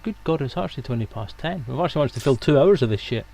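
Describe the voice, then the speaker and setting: A man's voice: mid-range voice, expressive, racing, gravelly, darkish tone, slight background noise. A man hosting a live stream in casual, bantering, sweary chat. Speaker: stream host.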